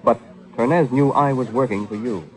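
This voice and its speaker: An American actor playing a cool, sardonic, teasing private detective in a vintage 1940s radio drama. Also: male voice, mid-range voice, normal speed, darkish tone, close to an everyday voice. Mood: explaining. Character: wisecracking, cool-headed male private detective, flirtatious with women.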